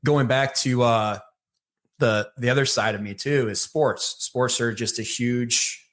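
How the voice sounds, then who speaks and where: A man's voice: mid-range voice, fairly even intonation, a little slow, a bit bright. A middle-aged man with a distinct Michigan accent talks loosely as an interview guest. He rambles, doubling back with fillers and restarted phrases as he goes. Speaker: sales leader, podcast guest. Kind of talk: casual interview conversation.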